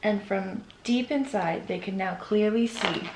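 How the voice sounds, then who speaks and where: A woman's voice: medium pitch, a little slow, background noise. A woman hosting a read-aloud for children, narrating a storybook. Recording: echoey room.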